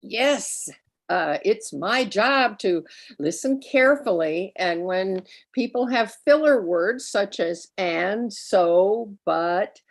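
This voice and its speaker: A woman's voice: mid-range voice, gravelly. A woman explaining her grammarian role to a group on a video call. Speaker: grammarian at a speaking club.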